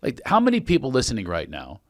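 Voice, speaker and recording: A middle-aged man hosting a podcast in casual chat, playful and quick to laugh as he riffs on jokes. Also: mid-range voice, huge pitch swings, no echo. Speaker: podcast host.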